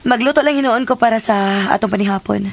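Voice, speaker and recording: A woman playing a gentle, dutiful young daughter with a creaky voice in a radio drama. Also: fairly high pitch, fast. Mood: tender.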